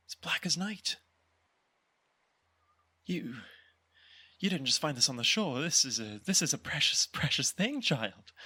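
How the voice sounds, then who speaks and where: A man voicing a brisk, teasing mother in an audio roleplay, talking quickly and playfully. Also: mid-range voice, husky, exaggerated voice. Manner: quick, teasing, lively questioning.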